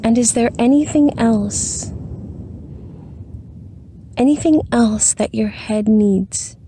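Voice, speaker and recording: A woman leading a guided meditation in a soothing, unhurried manner with long pauses. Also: fairly high pitch, dark tone, a little hiss or hum.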